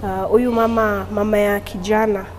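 A young woman, giving a halting personal testimony as an interview guest in a studio, talking through a lapel mic. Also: mid-range voice, level tone, darkish tone, in a large hall, a little hiss or hum. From Tanzania. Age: young adult. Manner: halting, with frequent restarts. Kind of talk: personal testimony, mixing Swahili and English. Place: indoor studio.

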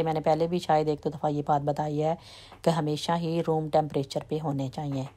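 A woman with a slight lilt, chatting in a relaxed way as she walks through a home baking recipe step by step. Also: on the low side. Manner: relaxed, chatty, trails off softly at sentence ends. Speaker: home cooking vlogger. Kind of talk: casual step-by-step baking talk.

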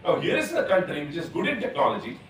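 A man's voice: fairly high pitch, wildly swooping pitch, fast, fairly bright tone, a little hiss or hum. A man, a panelist at a conference who talks eagerly and makes his points with examples. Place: large conference hall, at a panel table. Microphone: table gooseneck mic. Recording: echoey hall, distant from the mic.

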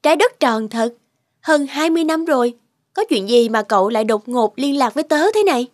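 A woman's voice: sits high, brisk, no room sound, natural voice. An actress playing a bitter, wounded grown woman out for revenge in a cartoon, speaking with dramatic resentment. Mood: guarded. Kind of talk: cartoon dubbing.

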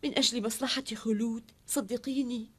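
A woman's voice: on the high side, fairly even intonation, brisk, slightly rough. A woman playing a devoted, tender young woman teacher in a cartoon drama, emotional and pleading. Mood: earnest, subdued. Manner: emotional, pleading, tearful delivery. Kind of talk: dubbed cartoon drama acting in formal Arabic.

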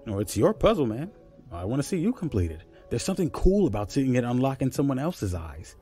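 A man's voice: mid-range voice, sing-song, fairly quick, mellow, soft background music, lightly acted. An actor playing a patient, puzzle-savvy man who explains step by step in naturalistic audio drama dialogue. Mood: encouraging.

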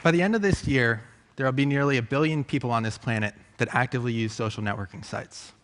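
A man delivers a reflective, measured talk from a large theatre stage. His voice sits at a middle pitch and is picked up by a headset mic. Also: a bit bright, a little hiss or hum. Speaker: conference speaker.

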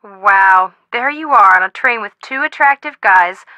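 Game role: excitable gossipy young girl, lively swooping delivery, close dry sound. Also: medium pitch, unhurried, no echo, lo-fi sound. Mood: eager, excited. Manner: excitable, girlish, expressive. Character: bubbly, boy-crazy, gossip-loving teenage girl sidekick. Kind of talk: video game voice acting.

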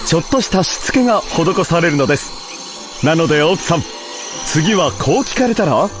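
A man plays a slick corporate announcer in a spoof advert. His high voice is chipper and reassuring, with polished sales delivery. Faint music sits underneath. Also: animated, moderate pace, natural voice. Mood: chipper selling. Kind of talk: parody commercial in a video game.

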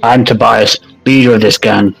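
A man's voice: medium pitch, fairly even intonation, moderate pace, creaky, fairly bright tone, faint music behind, poor audio. A man playing a character in a tabletop game, eager and self-mocking. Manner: eager, laughs at himself. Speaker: player. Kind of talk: casual tabletop roleplay.

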